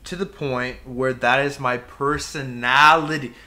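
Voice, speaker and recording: A young man co-hosts a laid-back podcast and talks in a bright, cutting tone. He gets worked up as he goes, rambling through his point and then punching it home with sudden emphatic bursts. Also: mid-range voice, steady tone, unhurried. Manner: impassioned ranting with emphatic outbursts. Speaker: podcast co-host. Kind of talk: casual podcast rant.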